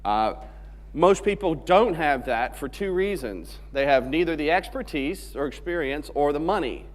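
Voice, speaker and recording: A man from Alabama giving a technical conference talk in a joking, conversational way. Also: on the high side. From Alabama, USA. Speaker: conference speaker. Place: large conference hall stage. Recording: long hall reverb on stage mic.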